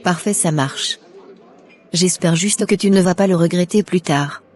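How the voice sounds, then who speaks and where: A man's voice: high-pitched, a little slow, soft background music, pitched above the performer's own voice. A man voicing a young woman, a cast-off mistress, who talks sarcastically and scornfully in a cartoon.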